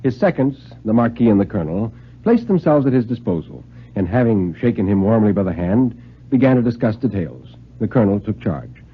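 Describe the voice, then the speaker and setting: A man reading a thriller story in a dramatic 1950s radio style, with suspenseful delivery and deliberate pauses. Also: lowish voice, sing-song, a little hiss or hum. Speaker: narrator and dramatic reader. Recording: muffled, band-limited 1950s radio recording.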